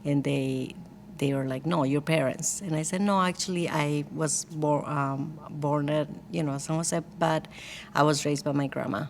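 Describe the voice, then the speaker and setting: A woman's voice: low voice, steady tone, moderate pace, vocal fry, slight background noise. A woman from Costa Rica with a Costa Rican Spanish accent, telling her personal story in a candid, chatty, lightly joking way as an interview guest. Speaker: guest, church worship team leader.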